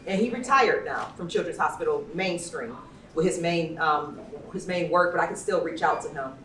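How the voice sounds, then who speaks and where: A woman with a slightly low voice hosts a live panel in a chatty, effusive way. The room is echoey and she sounds distant from the mic, speaking personally and informally. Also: expressive, moderate pace, a bit bright. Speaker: panel moderator and event host. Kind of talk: informal spoken panel introduction. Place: indoor event venue, amplified panel talk.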